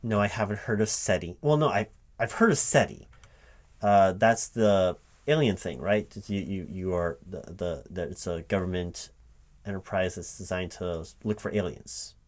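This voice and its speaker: A man's voice: deep voice, moderate pace, lightly acted. A man playing a flustered but polite recruiting manager in a one-sided ASMR interview roleplay, hesitating, repeating words and asking puzzled questions. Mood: bemused, questioning. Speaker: job interviewer. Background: computer keyboard typing.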